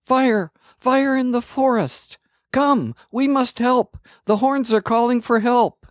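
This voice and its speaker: A man's voice: on the high side, animated, slow, darkish tone, dry recording, pitched above the performer's own voice. A male narrator puts on a brave young princess who speaks in a raised, eager voice. She explains things earnestly and presses with urgency, in a plain storybook reading. The sound is dry and close. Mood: urgent. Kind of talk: storybook character voice.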